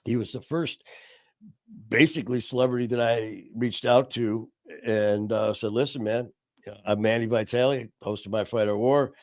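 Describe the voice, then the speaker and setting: A man's voice: medium pitch, fairly even intonation, normal speed, a little mellow, no room sound, clean studio sound. A man hosting his live online show, chatting casually and gratefully, rambling with lots of fillers. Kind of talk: casual live-stream chat.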